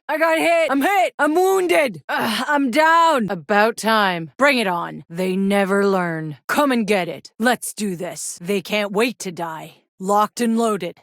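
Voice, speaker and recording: A battle-hardened young female fighter from a sci-fi shooter game. She is sardonic and gritty, quick with profanity and dry wit. The sound is tight and studio-dry, with no room around the voice. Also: fairly high pitch, fairly bright tone, dry recording, exaggerated voice. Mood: pained, strained, then aggressive, defiant. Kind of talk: video game character voice lines.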